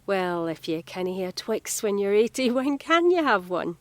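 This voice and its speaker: An actress playing a friendly, chatty, gently teasing Scottish home carer in an audio drama. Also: female voice, medium pitch, sing-song, moderate pace, dry recording, lightly acted. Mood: cheeky, reassuring.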